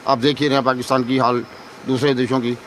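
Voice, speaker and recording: A man's voice: mid-range voice, flat delivery, quick pace, a bit bright, rough recording. A man giving opinionated street interview answers outdoors, emphatic and repetitive, full of rhetorical questions. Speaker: member of the public being interviewed. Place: outdoors in a city market. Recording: faint outdoor street noise.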